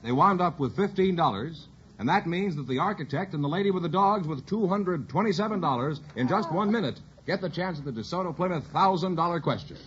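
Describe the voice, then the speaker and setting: A man's voice: a little high, animated, fairly quick, heavy background noise. An American man announcing on 1950s radio, reading scripted sponsor messages with a polished, emphatic sales delivery. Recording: dull lo-fi vintage radio recording.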